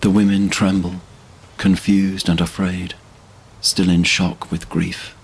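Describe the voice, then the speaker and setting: A man narrating a fantasy audio drama in a solemn, measured manner. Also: sits low, little pitch movement, a little hiss or hum.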